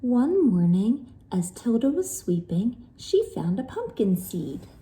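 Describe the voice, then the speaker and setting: A woman's voice: fairly high pitch, sing-song, takes it slowly, dark tone, rough recording. A woman narrating a children's storybook expressively, as if reading aloud to young listeners.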